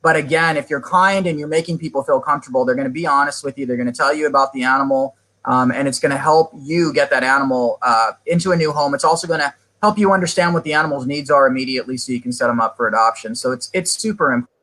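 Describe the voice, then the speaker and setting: A man's voice: medium pitch, fast, sharp tone, poor audio. A man who has worked in animal shelters for years, answering interview questions in an earnest, explanatory way and now and then addressing people as "man". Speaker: animal shelter expert guest.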